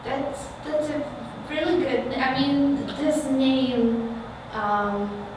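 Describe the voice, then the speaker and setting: A woman with a fairly high voice conducts an informal oral history interview, halting as she goes. She sounds some distance from the mic in a very reverberant room, with clear noise around her. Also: fairly even intonation.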